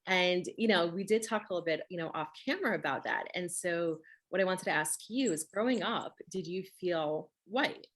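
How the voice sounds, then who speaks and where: A woman's voice: fairly low pitch, normal speed, hardly any pauses. A woman who grew up speaking Russian, hosting a conversational interview and talking emphatically, often checking with "right?". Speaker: host.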